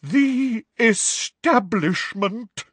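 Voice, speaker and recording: A man voicing an overwrought, eccentric older female seer teacher, speaking in a high, throbbing, theatrical voice. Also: animated, very slow, fairly bright tone, husky, dry recording, exaggerated voice. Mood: dramatic.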